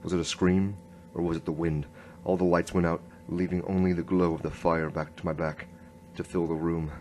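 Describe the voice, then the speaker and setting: An actor playing an earnest, halting noir police inspector who narrates his case in a radio drama. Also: low-pitched, flat delivery, a little mellow, a little hiss or hum, lightly acted. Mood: brooding, tense. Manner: hard-boiled first-person storytelling, halting.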